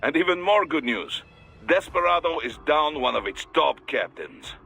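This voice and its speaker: An actor playing a gruff, businesslike Russian security boss in a video game, with a Russian accent. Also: male voice, on the high side, lively intonation, sharp tone, full character voice. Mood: wry, businesslike. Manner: businesslike, measured.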